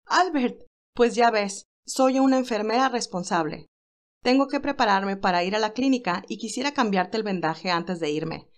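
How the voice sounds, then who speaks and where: A woman's voice: medium pitch, normal speed, lightly acted. A woman voicing an earnest, tender young nurse in an audio story, emotional and caring. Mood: bright, caring.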